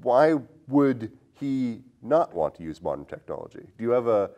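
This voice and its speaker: A man's voice: medium pitch, big pitch swings, moderate pace, dark tone, muffled recording. A man hosting a podcast in a casual, conversational style, with an inquisitive way of talking. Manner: inquisitive, posing questions to his co-host. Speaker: film podcast host. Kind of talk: casual conversational film discussion.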